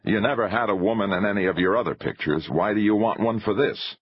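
A man's voice: on the low side, fast talker, slightly rough, dull audio, pitched above the performer's own voice. A man voicing a cautious, wry theatrical agent who reasons reluctantly, in audiobook dialogue. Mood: wry, doubting.

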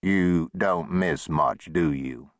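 A man's voice: deep voice, takes it slowly, no room sound, studio quality. A man voicing a terse, sardonic drifter cowboy with laconic frontier talk in a western audiobook.

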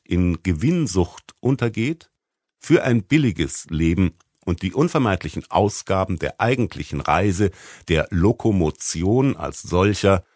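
Deep-voiced man narrating with expressive range. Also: no echo, clean studio sound. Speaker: audiobook narrator. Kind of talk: scripted literary narration.

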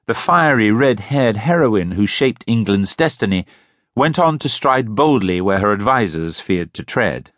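A man reading a history audiobook as narrator, in a measured, expressive manner with strong emphasis, close to a studio microphone. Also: lowish voice, no room sound. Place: dry recording booth.

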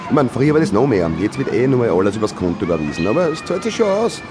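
A male actor plays a rambling postman in a radio play. His Viennese voice swings animatedly around a middle pitch, chummy and ingratiating but evasive and opinionated. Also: very animated, rapid-fire, background noise, close to an everyday voice.

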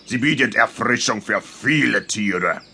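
Slow, gravelly, big elephant. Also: male voice, on the low side, animated, sharp tone, exaggerated voice. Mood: helpful. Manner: deliberate, self-assured. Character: big, helpful, self-assured male elephant. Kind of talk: German children's audio drama.

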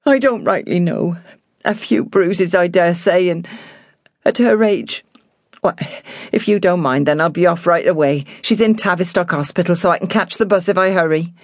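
A woman's voice: on the low side, moderate pace, darkish tone, dry recording, pitched above the performer's own voice. A loyal, flustered housekeeper acted out by a woman reader in an audiobook novel. She talks in homely country turns of phrase and sounds close to tears, in a very dry recording. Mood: anxious, humble. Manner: homely rustic speech, near tears.

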